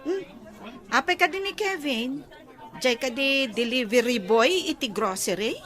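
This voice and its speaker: A woman's voice: high-pitched, a little slow, a bit bright. A young woman playing a gullible village sweetheart who talks in a bubbly, easily surprised way. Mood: chatty.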